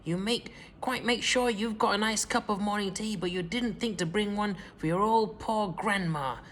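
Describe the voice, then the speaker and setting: A man voicing an enormous, greedy old grandmother who shouts shrill accusations. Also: a little fast, long reverb tail, a little hiss or hum. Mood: accusing.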